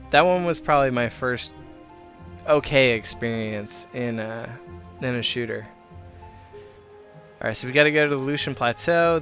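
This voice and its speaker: A man's voice: medium pitch, a bit bright, muffled recording. A man live streaming a game, chatting casually and in a friendly way with viewers as he plays. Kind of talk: casual game livestream chat. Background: music.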